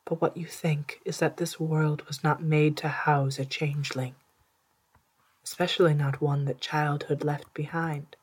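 A narrator with an androgynous, mid-pitched voice reads a literary story at a slow pace. The delivery is hushed and deliberate, with a soft, breathy edge and careful pauses between phrases. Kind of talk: scripted literary narration in second person, storytelling.

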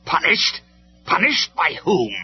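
A man's voice: high-pitched, wildly swooping pitch, slow pace, voice pushed up, exaggerated voice. A man voicing a tyrannical robot warlord in a storybook reading, with a derisive, menacing manner. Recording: dull lo-fi recording with music.

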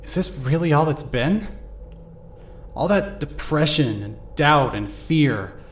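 A man's voice: a little high, animated, slow pace, a little hiss or hum. Echoey second-person male narrator. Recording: roomy echoey recording.

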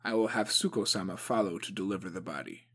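A man voicing a young male clan leader who speaks calmly and with measured authority. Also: low-pitched, breathy edge, exaggerated voice. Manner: calm, commanding.

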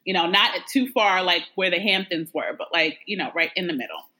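A woman's voice: on the low side, lively intonation, fairly quick, pushed and bright, poor audio. A woman from New York, a guest in a casual interview, talking frankly and conversationally with lots of "like" and "you know". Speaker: guest, adoptee.

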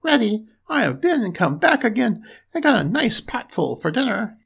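Little pig for a fairy tale: high, squeaky, small and plucky, politely eager. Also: male voice, very animated, normal speed, gravelly, fairly bright tone, husky, exaggerated voice. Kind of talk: storybook character voice.

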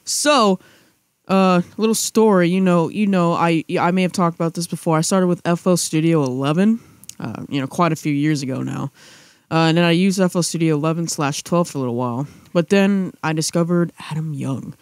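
A man's voice: a little high, a little fast, clean tone, no room sound. A young man chatting casually as a podcast co-host, rambling with restarts and fillers. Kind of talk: casual chat.

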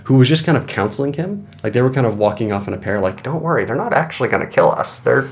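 A man's voice: medium pitch, animated, quick pace, gravelly, slight background noise. A man narrating a tabletop role-playing game as game master, in an informal, conversational way. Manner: informal, conversational rulings and scene-setting.

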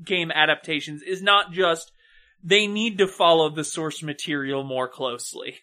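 A podcast co-host with a bright, forceful voice gives opinions in a casual review chat. The delivery is wry and a little mock-serious. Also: mid-range voice, normal speed.

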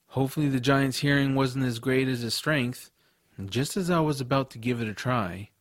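A man's voice: medium pitch, no room sound, studio quality, lightly acted. A man playing an earnest, kind-hearted adventurous boy who narrates his own story in an audio drama. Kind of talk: first-person audiobook narration with dialogue.

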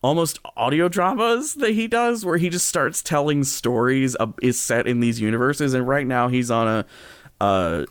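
A man talks casually as a podcast host, with a smooth, clean voice at an average pitch. He speaks unscripted and rambles, stopping and restarting his thoughts. The sound is very dry, with no room on it. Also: animated, no room sound. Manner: rambling, halting, backtracking mid-sentence; chuckles through his own sentences.

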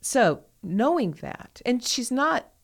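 A woman's voice: a little high, big pitch swings, takes it slowly, vocal fry. A woman co-hosting a podcast in casual conversation, stressing points by repeating words. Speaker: podcast co-host.

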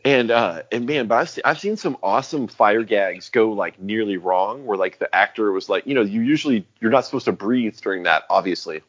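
A man's voice: on the low side, a little fast, few pauses, lo-fi sound. A man co-hosting a podcast in casual chat, rambling and swearing as he acts out lines. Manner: animated, rambling, swears often, acts out quotes. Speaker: podcast co-host.

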